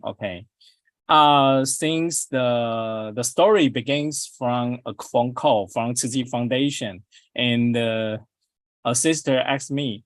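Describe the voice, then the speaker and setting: Middle-aged taiwanese guy, english not his first language, slow and halting, lots of uhs, dry sound. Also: medium pitch, no echo. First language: Chinese. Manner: halting, many fillers and restarts. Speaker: dentist giving a guest talk. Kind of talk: prepared presentation on a video call.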